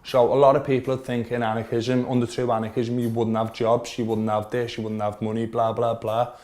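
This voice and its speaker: A man's voice: medium pitch, flat delivery, fairly quick, a little mellow. A man in his twenties with a Liverpool accent, earnestly explaining his views at length in a documentary interview in his shop. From Liverpool, England. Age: 20s. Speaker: vape shop owner. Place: their small shop, indoors.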